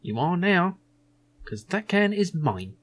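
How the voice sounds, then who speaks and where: A man's voice: high-pitched, very animated, takes it slowly, fairly bright tone, pitched above the performer's own voice, exaggerated voice. A man voicing an officious, fussy jobsworth guard in an adventure game. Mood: indignant. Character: officious jobsworth security guard searching visitors.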